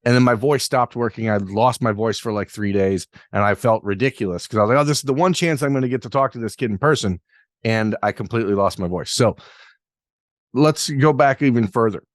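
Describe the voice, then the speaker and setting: A man's voice: mid-range voice, fairly quick, dry recording, studio quality. A man hosting an interview podcast, asking long, rambling questions with self-deprecating asides. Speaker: podcast host.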